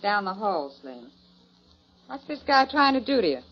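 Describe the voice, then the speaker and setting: A woman's voice: medium pitch, big pitch swings, moderate pace. An actress playing a tough, defiant cowgirl with an American Western accent, blunt and threatening, in 1940s radio drama. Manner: blunt, challenging. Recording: muffled lo-fi 1940s radio broadcast.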